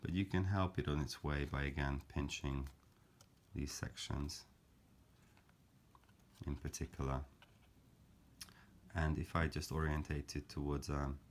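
A man calmly explaining a paper craft step by step, in a gentle, measured way with frequent fillers. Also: a bass voice, slight background noise. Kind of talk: calm step-by-step craft tutorial.

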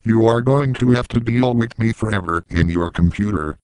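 A synthetic male voice playing a menacing, taunting computer error villain in a homemade comedy skit, with deadpan robotic delivery. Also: lowish voice, moderate pace, smooth, no room sound, close to an everyday voice.

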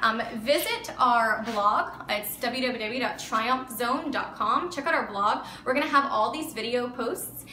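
A woman's voice: on the high side, a little slow, bright, forceful tone. A woman in her thirties hosting a casual cooking vlog in her home kitchen, chatty and upbeat, with frequent ums as she shows her food. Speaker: vlog host. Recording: echoey kitchen, faint hum.

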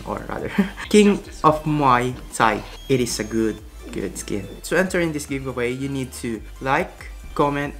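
A man's voice: mid-range voice, fairly bright tone. A young man from the Philippines, hosting a casual gaming vlog from his room and walking viewers through a giveaway. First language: Tagalog. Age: young adult. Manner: chatty, repeats himself, gestures with his hands while explaining. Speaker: gaming channel host. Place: small room indoors. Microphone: webcam at desk.

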